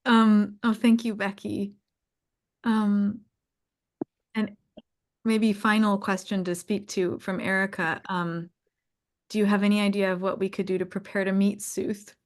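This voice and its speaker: A woman in casual, reflective conversation on a live online call, answering questions in a halting, thoughtful way as she searches for words. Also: mid-range voice, little pitch movement. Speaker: co-creator hosting a live audience call.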